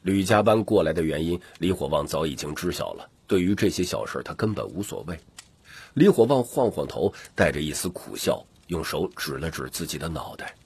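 A man's voice: very deep voice, lively intonation, a little fast, darkish tone, slightly rough, dry recording. A man reading dramatic scripted fantasy narration as an audiobook narrator.

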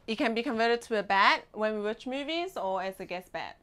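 Young female vlog host, fairly high voice, casual guided-tour delivery, recorded in a compact boat cabin. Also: animated, a little fast, very noisy. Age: young adult. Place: inside a small sailboat cabin.

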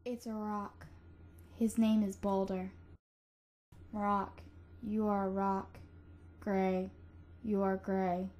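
A woman voicing a deadpan, monotone young cartoon mare who is dully serious. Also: mid-range voice, slow, pauses often, pitched below the performer's own voice, natural voice. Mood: deadpan, flat. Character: deadpan, dull young mare obsessed with rocks. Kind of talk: cartoon character voice acting.

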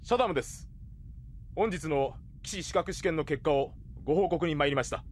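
An actor playing a stern, irritable mercenary captain and examiner with a commanding bark, in an anime audio drama. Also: male voice, a little high, moderate pace, a little hiss or hum, natural voice. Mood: formal. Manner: commanding, barking.

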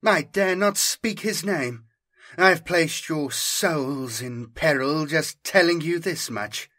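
A man's voice: a little high, slow pace, pushed and bright, voice pushed up, full character voice. A man voicing a stern, commanding female inquisitor in a grave, intense audiobook style. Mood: grave, fearful.

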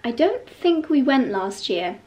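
Woman vlogging in her bedroom, kinda stuffy nose, bit high-pitched, chatty and gushy. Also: big pitch swings, measured pace, warm tone. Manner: gushing and chatty, with light laughs. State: slightly stuffy from a cold. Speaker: lifestyle vlogger. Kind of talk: chatty vlog to camera. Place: bright bedroom indoors. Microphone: camera held close.

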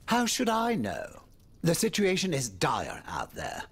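Game role: worldly French nobleman. Husky, highly expressive, theatrical and courtly, with worried, confiding asides. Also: unhurried, heavily acted. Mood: worried, musing. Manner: theatrical, courtly, swinging between exclamation and confiding murmur. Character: urbane male French aristocrat, worried, wry, world-weary. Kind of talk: dramatic video game dialogue.

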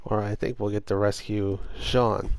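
A young man with a hoarse voice chatting casually over his gameplay as a gaming host, swearing when things go wrong. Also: lowish voice, level tone, normal speed. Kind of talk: casual let's-play commentary.

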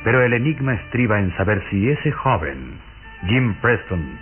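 Sinister aristocratic count, mocking and measured, muffled vintage radio sound with music behind. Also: male voice, lowish voice, very animated. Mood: mocking, insinuating. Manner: measured, courtly, insinuating. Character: sinister pale vampire count, courtly, mocking, cynical. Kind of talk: Mexican radio drama acting.

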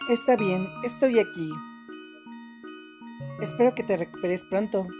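A woman voicing a gentle cat-like creature soothingly telling a bedtime story in a game fan dub. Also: mid-range voice, measured pace, lightly acted. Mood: gentle, caring. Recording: music loud behind the voice. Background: tinkling music.

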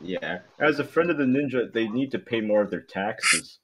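Brief: man as rambling, grumbly cartoon sidekick with an average-pitched male voice, casual fandub delivery. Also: lively intonation, normal speed, a bit bright, slightly rough, low-quality recording. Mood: grumbling. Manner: grumbling, foul-mouthed, rambling. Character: grumbling sidekick, second-rate karaoke club owner. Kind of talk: comedic amateur cartoon fandub.

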